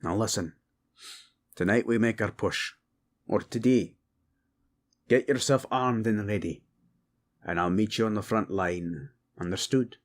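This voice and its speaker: Man playing a slow, gruff, hearty dwarf king. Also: on the low side, natural voice. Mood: commanding. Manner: blunt, proud, commanding. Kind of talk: fantasy audio roleplay acting.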